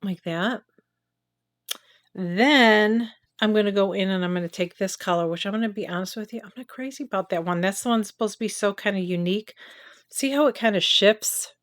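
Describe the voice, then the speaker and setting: A woman's voice: medium pitch, moderate pace. A middle-aged woman with a nasal voice from a cold, chatting candidly through a makeup review and tutorial. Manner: chatty, candid, talks while applying makeup. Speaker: beauty vlogger.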